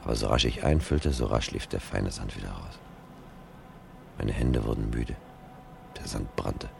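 A man's voice: very deep voice, normal speed, gravelly, background noise, exaggerated voice. An actor playing an anguished, desperate, brooding man in a German radio drama. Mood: brooding, despairing.

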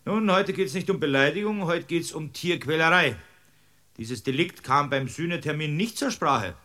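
Impatient court judge, Bavarian accent, forceful, slightly high voice, sarcastic, radio drama. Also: male voice, normal speed. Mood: dry, official. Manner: blustering, sarcastic, barking orders. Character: irascible, impatient male village-court judge. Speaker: actor. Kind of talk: German radio drama acting.

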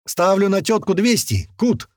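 A man voicing rowdy male fighters in an audiobook, blunt and rough in manner. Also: on the high side, very animated, normal speed, a little mellow, dry recording, clean studio sound, pitched above the performer's own voice. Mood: excited betting. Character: rowdy male fighters eagerly betting and grumbling.

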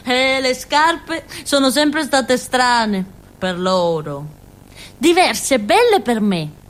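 An actress playing a naive, giggly village outcast woman with a Calabrian accent, in a halting, repetitive theatrical monologue. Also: high voice, sing-song, heavily acted. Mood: naive, wistful. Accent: southern Italian, Calabrian.

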